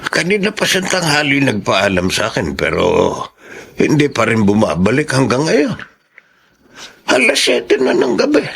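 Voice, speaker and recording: An actor playing a doting, worried elderly Filipino grandfather in a radio drama, with a halting, fussing manner. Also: mid-range voice, wildly swooping pitch, gravelly, a bit bright, slightly rough, full character voice. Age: older.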